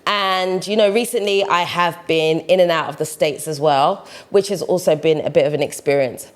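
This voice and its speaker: A woman's voice: deep voice, normal speed. A young woman from the UK, talking casually to camera as a vlogger, often tagging her sentences with "right?" and "you know". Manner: chatty, peppers talk with "right?" and "you know".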